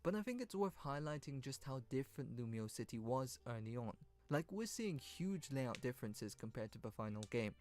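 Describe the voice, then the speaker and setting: A man's voice: medium pitch, sing-song, normal speed, few pauses, a little mellow. Male video narrator over music. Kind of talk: scripted informative video narration. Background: background music.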